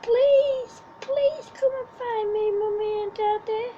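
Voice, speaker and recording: Woman playing a scared baby bunny girl, very high crying voice, slow sobbing pleas. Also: steady tone, mellow, highs cut off. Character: tiny lost baby girl bunny, frightened and weepy.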